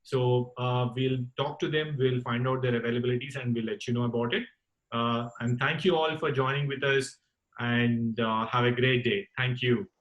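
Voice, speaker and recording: A man hosting an online call-in talk session, speaking in a courteous, formal and halting way. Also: medium pitch, steady tone, a bit bright, muffled recording. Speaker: host of a campus radio talk session. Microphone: online group call.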